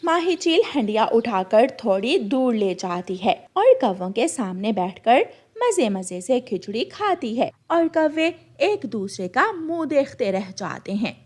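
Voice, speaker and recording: A woman's voice: a little high, very animated, unhurried, hardly any pauses, a little mellow. A woman narrating a scripted cartoon story.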